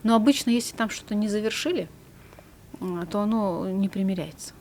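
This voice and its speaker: A woman with a medium-pitched voice, explaining calmly in a studio talk. Her phrases often trail off softly at the end, and a faint hiss runs under the recording. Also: brisk. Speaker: psychologist guest. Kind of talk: studio conversation.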